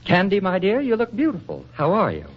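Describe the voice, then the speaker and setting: Wry bantering newspaperman, theatrical 1940s radio style, very animated pitch, muffled vintage recording. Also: fairly quick, breathy edge, heavily acted. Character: wry, teasing bachelor newspaperman at a party. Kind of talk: 1940s radio comedy acting.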